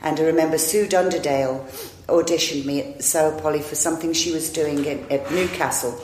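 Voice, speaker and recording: A woman's voice: low voice, fairly even intonation, fairly quick, noisy background. A woman in her fifties, an actress, telling long rambling anecdotes in a chatty, self-deprecating way. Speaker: actress and improviser, interview guest. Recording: echoey room.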